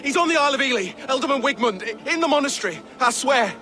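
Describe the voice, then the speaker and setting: A man's voice: very high voice, lively intonation, fast talker, bright, forceful tone, heavily acted. An actor playing a terrified captured Saxon man who pleads desperately and curses, in game audio with music underneath. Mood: pleading.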